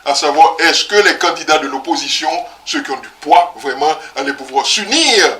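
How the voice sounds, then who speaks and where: Bright-voiced man explaining, slightly distant. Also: on the high side, animated, moderate pace, echoey room. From DR Congo. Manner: explanatory, with frequent "hein" and hesitations. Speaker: co-host. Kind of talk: conversational current-affairs talk show.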